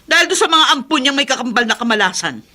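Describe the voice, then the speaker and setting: A spiteful Filipino aunt in a radio drama, forever exasperated. Her voice sits fairly high and swings widely as she complains, with a hard, bright edge. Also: big pitch swings, quick pace, full character voice. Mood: angry, exasperated. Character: irritable, spiteful aunt fed up with burdens.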